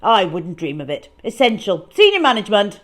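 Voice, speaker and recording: A woman's voice: mid-range voice, very animated, a little fast. A woman voicing an indignant, pompous male boss in a children's story, in blustering, clipped outbursts.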